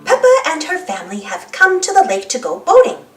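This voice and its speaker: A woman narrating a children's read-aloud story expressively, speaking clearly for young listeners. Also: very high-pitched, huge pitch swings, brisk, a bit bright. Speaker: storybook narrator and channel host. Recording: roomy, slightly distant, music underneath. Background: music.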